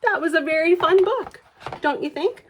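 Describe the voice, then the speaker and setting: A woman reading a picture book aloud for a library storytime, expressive, with questioning asides to young listeners. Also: extremely high pitch, normal speed, lo-fi sound, slight background noise. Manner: expressive, questioning, sing-song emphasis.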